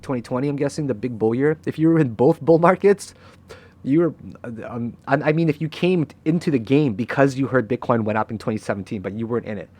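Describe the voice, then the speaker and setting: Young male host, mid-pitch, casual monologue to camera; blunt, swears, checks in with "right?". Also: quick pace, darkish tone. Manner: frank and emotional, swears freely, keeps asking "right?". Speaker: crypto trader and channel host. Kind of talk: candid motivational talk to camera.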